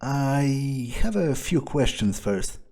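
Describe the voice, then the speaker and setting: A man role-playing a cynical vampire underling in a video game playthrough, with a husky voice and a halting, muttering manner. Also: mid-range voice, lively intonation, darkish tone, full character voice. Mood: wary, curious. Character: cynical, foul-mouthed, hesitant male vampire underling. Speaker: player role-playing his game character. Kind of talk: improvised in-character game playthrough.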